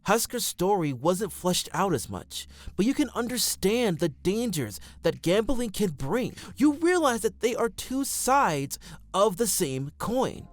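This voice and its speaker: A man's voice: high voice, very noisy. A man reading scripted fan commentary as a voice-over for a video essay, close on the microphone.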